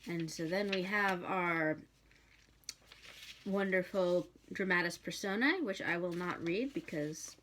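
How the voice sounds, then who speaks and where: A woman's voice: on the low side, slow pace, a bit bright, a little hiss or hum. A woman reading a novel aloud expressively, with a wry delivery and the occasional stumble over a word.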